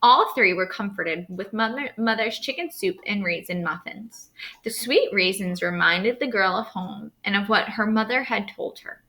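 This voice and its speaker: A woman narrating a children's storybook aloud as a storyteller, expressive and dramatic, stressing key words. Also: mid-range voice, moderate pace.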